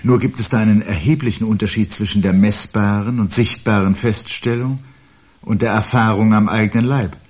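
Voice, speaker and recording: An actor in a German radio play from the 1970s, playing a brooding man full of dread who speaks in measured, portentous riddles. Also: lowish voice, steady tone, a little mellow, lightly acted. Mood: fatalistic. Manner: measured, portentous, cryptic, given to pathos. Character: brooding man haunted by dread of humanity's end.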